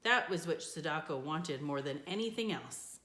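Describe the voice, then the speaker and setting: A woman reading a children's book aloud in an expressive storytelling style. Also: low-pitched, moderate pace. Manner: expressive, with character voices for dialogue. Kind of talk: scripted storybook narration, read aloud. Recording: echoey room.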